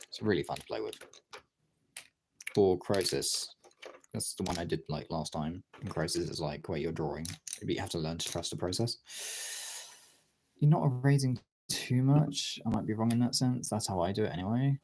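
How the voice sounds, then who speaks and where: A man's voice: low voice, animated, moderate pace, long pauses, darkish tone, a little hiss or hum. A man talking in an informal, rambling way, thinking aloud as he gives a casual art demonstration as a streamer. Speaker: streamer, artist. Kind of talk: casual chat while demonstrating drawing.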